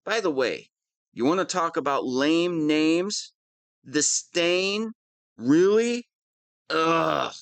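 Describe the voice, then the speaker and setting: Guy doing a whiny lil supervillain wannabe, kinda high voice, takes his time, super pompous n petulant, comedy audio roleplay. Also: lively intonation, slow pace, creaky, fairly bright tone, no room sound, exaggerated voice. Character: whiny, vain, insecure wannabe supervillain, youngish man.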